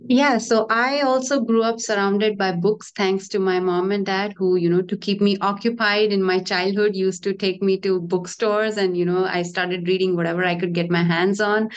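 A woman's voice: medium pitch, steady tone, moderate pace, few pauses. A woman from Mumbai talking easily about her path to writing on a video-call author panel. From Mumbai, India. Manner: easy, storytelling, light laughs. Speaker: debut mystery novelist on a panel. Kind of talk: casual panel conversation. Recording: dull, muffled video-call audio.

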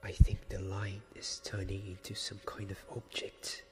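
A young man playing a devoted, weary knight in a soft-spoken ASMR roleplay, halting and often slipping into a whisper. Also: sits low, completely flat delivery, faint music behind, close to an everyday voice. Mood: hushed, wondering. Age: young adult. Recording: long cavernous reverb, faint background ambience.

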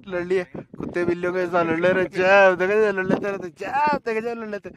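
A man's voice: high-pitched, steady tone, moderate pace. A young man from Hyderabad, teasing and mock-scolding his friends in casual banter outdoors. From Hyderabad, India. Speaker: road-trip friend. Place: outdoors at a high-altitude lake. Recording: outdoor crowd noise behind.

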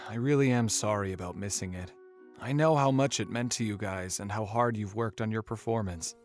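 A man's voice: fairly low pitch, moderate pace, faint music behind, close to an everyday voice. A man playing a rebellious, earnest young merman prince in an audio drama, defensive and pleading. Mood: apologetic.

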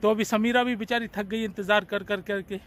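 A man's voice: sits high, a little fast. Man vlogging on a night platform. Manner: chatty, relaxed, talks to the camera. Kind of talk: casual vlog narration. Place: outdoor train platform at night. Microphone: phone at arm's length. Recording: faint outdoor background noise.

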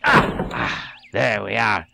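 An actor playing a folksy, patronizing police officer in an audio drama, with an avuncular, informal manner. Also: male voice, on the low side, extremely slow, vocal fry, heavy background noise, heavily acted. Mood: brisk, commanding. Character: folksy, patronizing male police officer, briskly take-charge.

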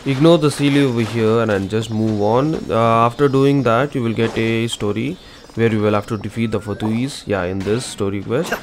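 A man's voice: mid-range voice, fairly even intonation, normal speed, a little hiss or hum. A man narrating a game walkthrough as an informal, instructional gaming channel host. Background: game music.

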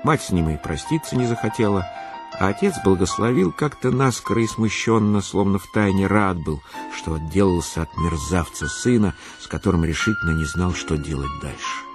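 An actor narrating a Russian literary radio play as an expressive, measured male storyteller. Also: deep voice, over music. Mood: reflective.

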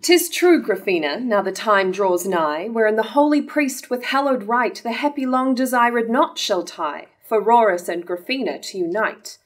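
A woman's voice: fairly high pitch, animated, moderate pace, hardly any pauses, close to an everyday voice. A young woman playing an earnest lover who hopes to wed, in a staged reading of verse tragedy. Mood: earnest, hopeful. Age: young adult. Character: earnest lover awaiting a long-desired wedding. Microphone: stand microphone at lectern.

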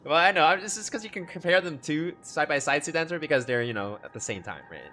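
A man's voice: on the high side, expressive, fast, bright, forceful tone. A young man gushing casually and slangily as he reacts to a game and chats with viewers. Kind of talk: casual livestream reaction commentary. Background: game music.

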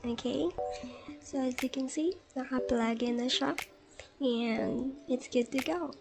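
A woman's voice: high-pitched, a little slow, creaky. A woman with a Filipino accent giving a casual step-by-step tutorial, switching between two languages. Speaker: tech tutorial host. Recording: music playing behind the voice. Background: background music.

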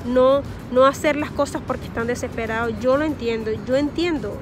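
Venezuelan woman in her 30s, high voice, talking to her phone outside in a park, music playing under her. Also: a little fast. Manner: earnest, repeats words while searching for them. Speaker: vlogger. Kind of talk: advice monologue to camera. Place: park outdoors among trees. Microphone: phone or camera at close range, face masked.

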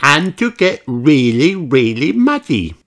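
Man putting on an excited high-pitched little girl piglet. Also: slow, exaggerated voice. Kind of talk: children's storybook read-aloud.

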